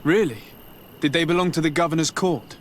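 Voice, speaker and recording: An actor playing a courteous, gentle young envoy, the hero of a video game, speaking politely and with composure. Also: male voice, on the high side, fairly quick, a little hiss or hum, lightly acted. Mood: curious, concerned. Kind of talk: video game dialogue acting. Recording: music behind the voice.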